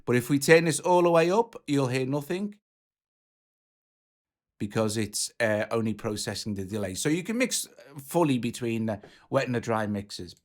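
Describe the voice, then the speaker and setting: A man's voice: mid-range voice, lively intonation, moderate pace. A man presenting a music app tutorial in a casual, informal, hands-on explaining way.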